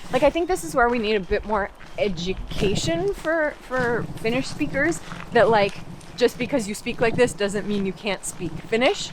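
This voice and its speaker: A woman's voice: fairly high pitch, lively intonation, moderate pace, background noise. A young adult woman from Canada, a language coach, talking casually on a walk outdoors, with lots of "like" fillers and restarts. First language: English. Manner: chatty, many "like" fillers and restarts. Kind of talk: casual walking conversation. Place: outdoors in a park.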